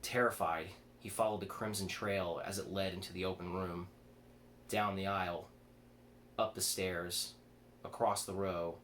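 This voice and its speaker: A man's voice: low-pitched, steady tone, unhurried, fairly bright tone. A man reads a horror story aloud, occasionally stumbling and rereading a word. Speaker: author reading own fiction aloud. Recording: clear background noise.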